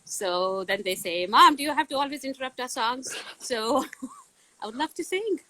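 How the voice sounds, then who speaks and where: A woman in her forties, a science teacher, answering interview questions outdoors in a loud, booming voice, laughing often. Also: lively intonation, moderate pace, low-quality recording. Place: outdoors in the wind.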